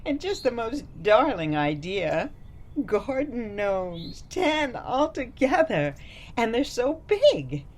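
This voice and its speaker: A woman's voice: a little high, sing-song, takes it slowly, heavily acted. A woman playing a sweet, doting grandmother in a radio play, gushing and cutesy in manner.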